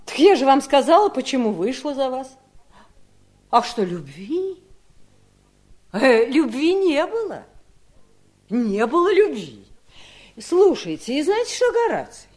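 An actress playing a scornful, cold-hearted, greedy wife in a 1970s radio drama, with a mocking, theatrical delivery. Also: female voice, high-pitched, big pitch swings, unhurried, long pauses, a little mellow. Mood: gloating, cruel.

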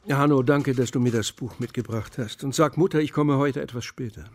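A man's voice: medium pitch, brisk, noisy background, natural voice. An actor playing a formal, solicitous middle-aged male academic superior in a radio crime drama. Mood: polite.

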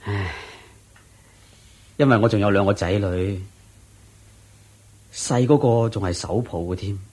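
Radio play devout, careworn young father, Hong Kong Cantonese accent, lowish husky voice, earnest and plain-spoken. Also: measured pace, darkish tone. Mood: humble, earnest. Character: poor, devout, worried young husband and father. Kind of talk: Cantonese-language Buddhist radio drama acting.

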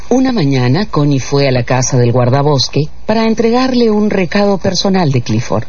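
A man's voice: fairly high pitch, big pitch swings, normal speed, darkish tone. A man delivering scripted dramatic narration as the narrator of a literary radio serial. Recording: dull radio production with music underneath.

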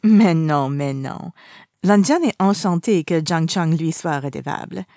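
A cheeky, nosy young man from a storybook, acted by a woman reader. The delivery is animated and theatrical, swinging readily into indignation. It is recorded very dry. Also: low voice, unhurried, no room sound. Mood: teasing. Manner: animated, mischievous.

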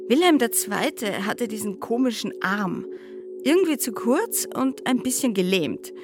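An actress playing a reflective psychoanalyst in a radio drama, talking conversationally and asking herself questions. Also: female voice, a little high, big pitch swings, measured pace. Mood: musing, bemused. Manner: conversational, pondering, rhetorical questions. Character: reflective female psychoanalyst, musing wryly on history. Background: music.

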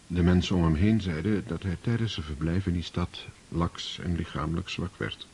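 Deep-voiced grave male narrator. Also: steady tone, a little mellow, slight background noise. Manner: measured, grave storytelling. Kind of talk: scripted narration in a radio play.

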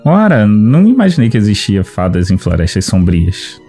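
A man's voice: on the low side, very animated, normal speed, mellow, soft background music. A man playing a kindly, curious warrior adventurer in a fantasy audio drama, with a friendly and courteous manner. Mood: friendly, amused.

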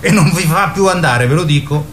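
An actor playing a timid, simple-minded boy in a radio drama, halting, stammering and literal. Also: on the high side, slight background noise, lightly acted. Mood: earnest. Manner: halting, stammering, repeats himself.

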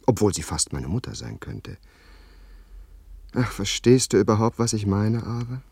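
Husky, fastidious young society gentleman. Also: fairly low pitch, dry recording. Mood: smitten, hushed. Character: young upper-class Englishman, fastidious, smitten, grumbling about society. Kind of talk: German radio drama acting.

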